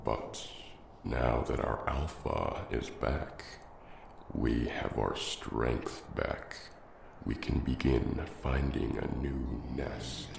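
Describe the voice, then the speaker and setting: A very deep dragon growls slowly and solemnly, loyal to his kin yet wary. The voice has a heavy creak and belongs to an ASMR fantasy roleplay. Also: heavily acted. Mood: wary, concerned. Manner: slow, solemn. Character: loyal male dragon, wary brother of the alpha. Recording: roomy echo with loud background ambience noise.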